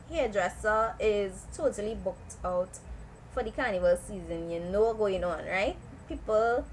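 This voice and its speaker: A young Trinidadian woman talks to her camera in a relaxed, chatty way, sprinkling in local slang. Her voice sits at a middling pitch, and a faint hiss of noise runs under her speech. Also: rough recording. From South Trinidad. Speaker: vlogger. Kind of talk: casual chat to camera.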